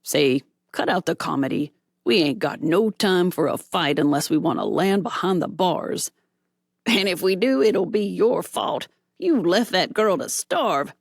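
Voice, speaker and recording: Surly male crook, gravelly and lively in tone; woman narrator doing the voice. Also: fairly low pitch, a little slow, no echo, studio quality, heavily acted. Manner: blunt, slangy. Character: surly drunken male robber, blunt and rough.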